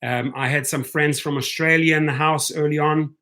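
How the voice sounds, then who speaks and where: A man's voice: a little high, fairly even intonation, moderate pace, pushed and bright. A middle-aged man with a South African accent hosts a rideshare livestream, reading viewer comments and rallying fellow drivers, often tagging on "right?". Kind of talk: live-stream chat reading viewer comments.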